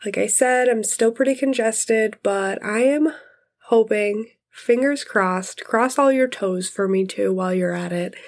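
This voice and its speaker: A woman's voice: mid-range voice, measured pace. A woman in her thirties hosting her podcast in casual, friendly chat, her voice congested from illness. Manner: friendly, chatty. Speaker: podcast host.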